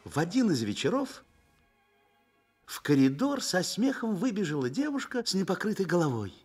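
Guy telling a story for an old soviet radio play, expressive, higher pitched, faint music. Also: moderate pace, slightly rough. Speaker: narrator. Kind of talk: dramatic scripted narration for a Soviet radio play.

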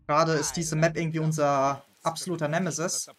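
A man's voice: on the high side, little pitch movement, a little fast, a crowd in the background. A German man hosting a gaming video, chatting casually and laughing often while he plays. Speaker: gaming channel host. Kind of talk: casual gameplay chat.

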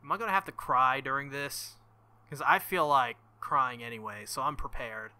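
A young man commenting casually on a visual novel, with joking, crude, excitable asides. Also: medium pitch, moderate pace. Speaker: let's-play host.

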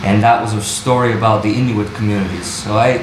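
A man's voice: on the low side, steady tone, a little fast, roomy sound, noisy background. A man, an actor and translator, answering a panel question thoughtfully and restarting his sentences as he searches for words. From Bulgaria. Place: wood-panelled university room, audience present.

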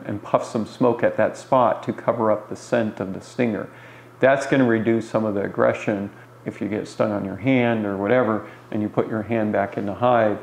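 Low, gravelly man in an echoey room. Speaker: beekeeper answering beginners' questions. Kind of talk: informal explanatory talk to camera. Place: small bare room indoors. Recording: roomy echo, faint background noise.

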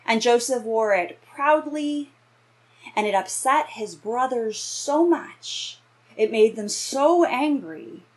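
A woman in her thirties or forties preaching an explanatory sermon in a measured way, pausing between phrases. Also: on the high side, poor audio. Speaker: minister giving a reflection. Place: small indoor room with bookshelves.

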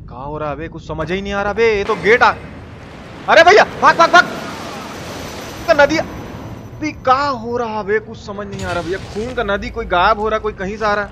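A man's voice: high-pitched, moderate pace. A man with a Bihari accent giving exclamatory, slangy, sweary live game commentary and greeting his chat. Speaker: game streamer. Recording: noisy, game sounds behind.

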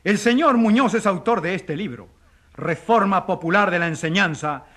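Stuffy high-pitched male witness. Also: expressive, normal speed, bright, forceful tone, heavily acted. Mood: prim accusing. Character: stuffy, accusing male doctor on witness stand. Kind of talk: formal radio drama testimony.

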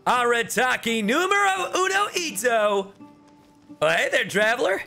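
A brash young anime game fighter, pitched high and bright. He boasts cockily and stretches his words, with faint music behind. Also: sing-song, unhurried, vocal fry, heavily acted. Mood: cocky, boisterous.

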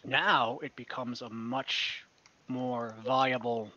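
A man's voice: mid-range voice, fairly even intonation, takes it slowly, sharp tone. Man voicing a chatty port merchant. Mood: genial. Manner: chatty, easygoing, talks shop. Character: friendly, businesslike male merchant who owns a port. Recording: dull lo-fi video call audio.

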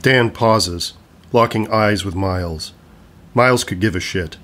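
A man's voice: on the low side, a little slow, fairly bright tone, a little hiss or hum. A man reading scripted stage directions as the narrator of an audio play.

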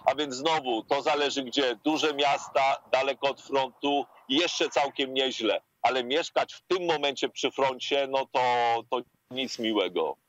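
A man in his fifties reports live from outside on a street, full of fillers and pauses. His voice comes through a video-call line that sounds dull and lo-fi, with a mid-pitched tone. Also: fairly bright tone, dry recording. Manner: hesitant, many fillers and pauses. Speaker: war correspondent. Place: outdoors on a residential street with trees. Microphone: earphone headset on a video link.

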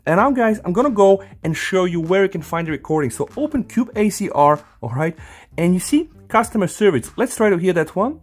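Higher-voiced man giving a casual tech tutorial. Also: expressive, fairly quick, slightly rough. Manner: addresses viewers directly and informally. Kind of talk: casual hands-on phone tutorial narration.

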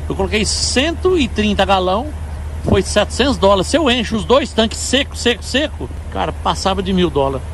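A man's voice: high-pitched, big pitch swings, a little fast. A truck driver in his forties vlogging at a fuel pump with his phone held at arm's length, talking emphatically to his viewers. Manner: indignant, emphatic, talks to viewers as "galera". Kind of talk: casual vlog to camera. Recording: phone video with loud outdoor traffic noise.

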